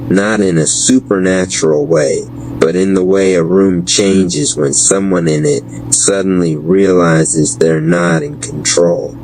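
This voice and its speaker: A man with a low voice narrates a dark fantasy audio drama. His delivery is slow, measured and dramatic, like spoken word. A clearly noisy rumble runs behind him. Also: steady tone.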